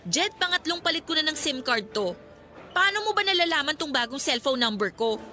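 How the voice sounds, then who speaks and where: A woman's voice: sits high, very animated, fairly quick, bright, forceful tone, exaggerated voice. A woman acting a firm, fed-up young college student in a radio drama. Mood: annoyed. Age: young adult. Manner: firm, exasperated.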